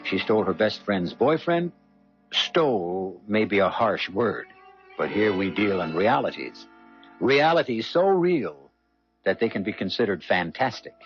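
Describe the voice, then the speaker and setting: An actor narrating a 1970s radio mystery as a solemn, knowing host, theatrical and deliberate. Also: male voice, lowish voice, sing-song. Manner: theatrical, deliberate, dramatic emphasis. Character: solemn, knowing male storyteller of eerie tales. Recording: muffled old radio broadcast with music.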